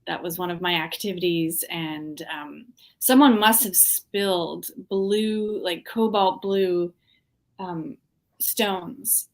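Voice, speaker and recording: A woman from the Washington, D.C. area talks as an artist guest in a casual interview. Her voice sits a little low, and she speaks reflectively, pausing often with ums while she searches for words. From Washington, D.C. area, USA. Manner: reflective, hesitant, many ums.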